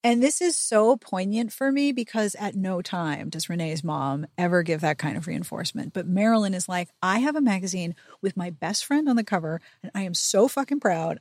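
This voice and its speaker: A woman hosting a podcast, giving a chatty book recap with animated, sarcastic and sweary commentary. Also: mid-range voice, no room sound, clean studio sound. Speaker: podcast host.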